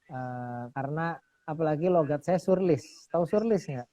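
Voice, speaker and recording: A man hosting a casual interview, upbeat, quick to affirm his guest and laugh. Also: fairly high pitch, mellow, dry recording. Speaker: host. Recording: dull lo-fi sound.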